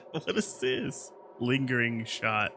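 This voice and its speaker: A man's voice: medium pitch, big pitch swings, very slow, pushed and bright, slight background noise. A man playing a video game on a live stream, commenting casually in playful, slangy reactions. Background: game music.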